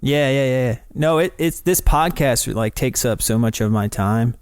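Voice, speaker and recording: A man's voice: mid-range voice, moderate pace, darkish tone, smooth, dry recording. Upbeat male host from North Carolina. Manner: friendly, upbeat, lots of fillers and restarts. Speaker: podcast host. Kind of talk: casual interview chat. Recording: dry close sound with faint music behind.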